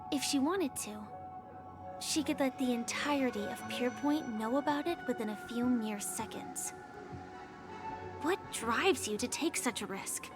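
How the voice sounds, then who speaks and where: A young outlaw warrior in a video game, with a high, soft voice. She stays calm, serious and guarded, choosing words with care over clearly audible music. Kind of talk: scripted game dialogue.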